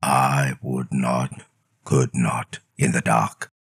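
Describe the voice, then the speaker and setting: A man's voice: extremely low pitch, little pitch movement, slow, creaky, husky, dry recording, clean studio sound, pitched below the performer's own voice, heavily acted. A man voicing a stubborn, grumpy storybook creature with emphatic, grumbling refusals. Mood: weary stubbornness. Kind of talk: storybook character voice.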